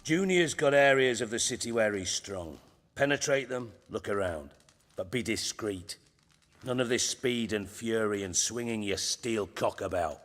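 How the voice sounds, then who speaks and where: An actor playing a cunning, middle-aged ex-spymaster turned crime boss in a video game, gruff and blunt, with a wry, salty tongue. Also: male voice, fairly low pitch, expressive, unhurried, fairly bright tone. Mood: gruff, cautioning. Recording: reverberant hall, music behind.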